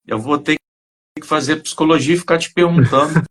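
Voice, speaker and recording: A man's voice: a little high, normal speed, a bit bright. A man hosting a live interview in a friendly way, with tag questions scattered through his speech. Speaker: live stream host.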